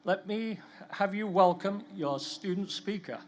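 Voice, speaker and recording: A man's voice: high voice, measured pace, heavy background noise. A man introducing a speaker in a formal, scripted way at an outdoor stadium ceremony, using a podium microphone. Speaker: ceremony presenter.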